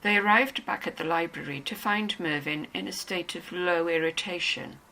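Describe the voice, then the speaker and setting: A woman's voice: on the low side, normal speed, creaky, background noise. A woman narrating a dramatized novel, reading a scripted story in the third person. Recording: loud music under the voice.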